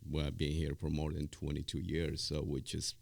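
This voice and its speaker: A middle-aged man from Ecuador and Spain, a native Spanish speaker, talking as an interview guest in a halting, repetitive way. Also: a bass voice, monotone, gravelly. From born in Ecuador, raised in Spain. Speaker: podcast guest, real estate team leader. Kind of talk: conversational interview.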